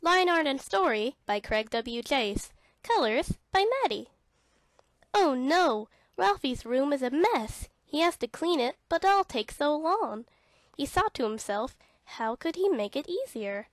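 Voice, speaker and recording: A woman narrating a read-along children's storybook in an expressive, dramatic way. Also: sits high, unhurried, no echo.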